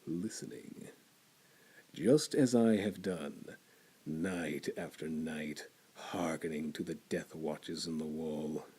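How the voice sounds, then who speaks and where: Brief: low, dark-toned male narrator for gothic horror, slow, whispers and builds. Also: husky. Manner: theatrical, shifting between hushed whispers and loud intensity. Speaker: narrator reading a classic horror story. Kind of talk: dramatic horror story reading.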